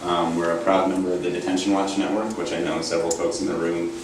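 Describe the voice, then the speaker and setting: A man with a very low, flat voice, a little gravelly from a cold, speaking on a conference panel with frequent ums. He is heard from a distance in a very echoey hall, and noise runs under his voice. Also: fast talker. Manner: hesitant, many ums. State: has a cold, slightly gravelly. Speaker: panelist from an advocacy group. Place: university conference room.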